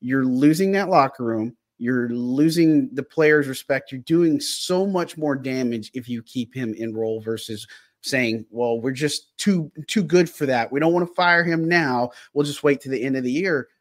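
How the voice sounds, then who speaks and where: Man, podcast co-host, middle pitch, frustrated, rambling, dry sound. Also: normal speed, no echo. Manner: frustrated, rambling, heavy on fillers like "I mean" and "look". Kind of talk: sports analysis chat.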